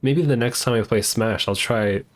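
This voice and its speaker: A man's voice: mid-range voice, moderate pace. A man streaming a game, giving casual live commentary in a laid-back way and reading text from the screen. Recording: game music behind the voice.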